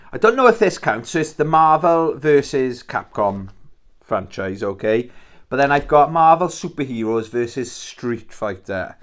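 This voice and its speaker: Chatty Welsh man with a game collection. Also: mid-range voice. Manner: chatty and enthusiastic, swears casually, many restarts. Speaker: YouTube channel host. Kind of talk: casual rambling video chat about his game collection.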